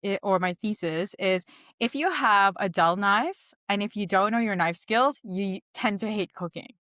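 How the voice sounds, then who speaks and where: A woman's voice: medium pitch, normal speed, fairly bright tone, no echo, studio quality. A woman from the Philippines hosting a podcast, asking questions in a casual, affirming way with fillers. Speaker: podcast host. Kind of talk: casual interview chat.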